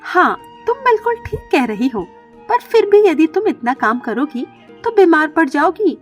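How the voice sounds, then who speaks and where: Casting: woman as elderly cartoon mother bird. High voice, motherly, worried, caring fusser. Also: very animated, normal speed, faint music behind. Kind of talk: Hindi children's cartoon story dialogue.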